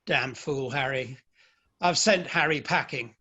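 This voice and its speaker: A man's voice: medium pitch, a little slow, bright, forceful tone, husky, lo-fi sound. A man acting a stern, proud Victorian father and novelist in a play reading, with formal, emphatic speech. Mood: indignant, blustering.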